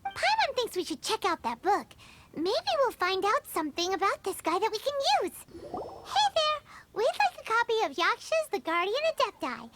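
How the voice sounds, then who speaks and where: A woman voicing a small, childlike, excitable fairy companion in a video game, bubbly and squeaky. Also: normal speed, very noisy. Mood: curious. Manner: squeaky, bubbly, refers to self by name. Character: small childlike fairy companion, excitable, food-loving, speaks in third person.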